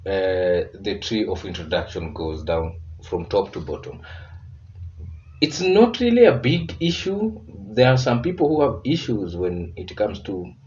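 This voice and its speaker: A man with a Kenyan accent hosting a reaction video in casual commentary, relaxed and searching for words with emphatic bursts. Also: low-pitched. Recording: distant muffled mic with faint hiss.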